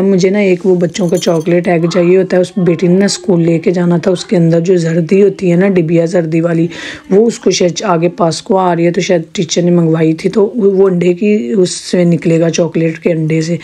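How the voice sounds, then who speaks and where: A woman with a sore, hoarse throat chatting in a rambling home vlog with her viewers. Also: lowish voice, mellow. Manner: chatty, rambling with many restarts. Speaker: vlogger. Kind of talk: casual home vlog narration.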